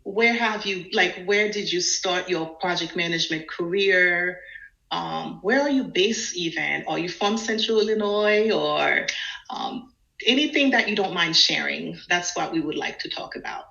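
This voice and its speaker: A dull, echoey livestream recording carries a bright-voiced woman. She hosts an informal interview, chatting loosely and thinking aloud. She grew up in New Jersey after being born in Haiti. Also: on the low side, a little slow, creaky. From born in Haiti, raised in New Jersey.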